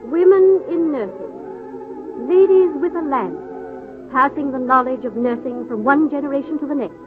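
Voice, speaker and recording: High-voiced dramatic 1930s radio narrator, woman. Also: expressive, moderate pace. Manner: declamatory, formal. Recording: tinny 1930s radio recording. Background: music.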